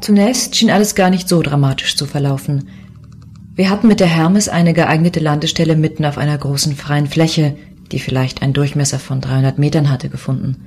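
Low-voiced, composed female commissioner played by an actress. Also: fairly even intonation, a little fast, a little mellow, slightly rough. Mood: composed, reflective. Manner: composed, matter-of-fact. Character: composed, matter-of-fact female space police commissioner recounting a mission. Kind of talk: first-person narration in a science-fiction audio drama.

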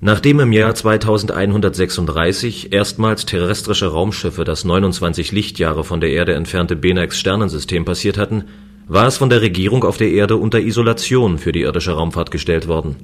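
A man's voice: low-pitched, a little fast, lightly acted. A man playing a lonely, easygoing space station guard, narrating and speaking in a scripted sci-fi radio play. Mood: calm, reflective. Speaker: voice actor and first-person narrator.

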